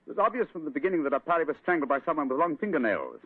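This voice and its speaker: An actor playing an assured gentleman detective explaining his deductions in an old radio drama. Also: fairly high pitch, big pitch swings, very fast, husky, dry recording. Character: calm, clever gentleman detective. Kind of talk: 1940s radio acting. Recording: tinny old radio broadcast.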